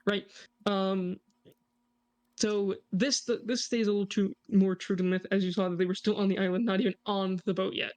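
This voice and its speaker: A man's voice: sits high, level tone, normal speed, darkish tone. A man co-hosting a reaction video, casually analysing a song and joking with his audience. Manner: chatty, jokey, points things out to the audience.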